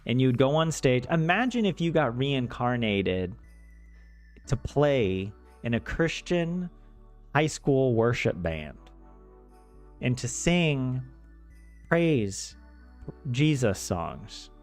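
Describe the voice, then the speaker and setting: A man's voice: mid-range voice, sing-song, slow pace, pauses often, faint music behind. A man from the American South chatting casually on a livestream in a rambling, self-deprecating, joking way. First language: English. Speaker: streamer learning Finnish.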